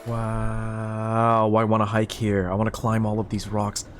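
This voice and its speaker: A man casually commenting while playing a game, gasping and jumping high in pitch when surprised. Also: monotone, measured pace. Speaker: streamer. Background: game music.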